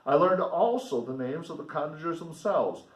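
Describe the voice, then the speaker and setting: A man reading a novel aloud as a teacher, in a deliberate, explanatory manner with casual asides. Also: on the high side, animated. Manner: deliberate, explanatory, pausing to comment for students. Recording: roomy echo, distant microphone.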